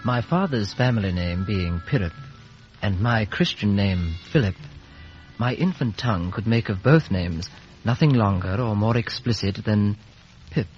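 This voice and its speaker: An actor playing a grown British man soberly recalling his bleak childhood in measured radio drama narration. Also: on the low side, lively intonation, a little mellow, faint music behind. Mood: somber, reflective. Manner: measured, grave, literary. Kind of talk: scripted first-person radio drama narration. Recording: dull, hissy 1950s radio recording.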